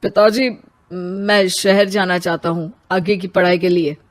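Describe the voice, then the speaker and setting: A woman's voice: lowish voice, fairly even intonation, unhurried, no room sound, voice pushed down, close to an everyday voice. A woman voicing an arrogant, stubborn young village husband in a cartoon story, curt and self-important. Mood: determined.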